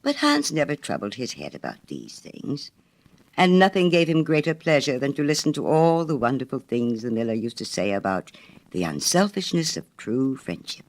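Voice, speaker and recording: A man narrating a children's story from a script as an expressive storyteller. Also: medium pitch, normal speed.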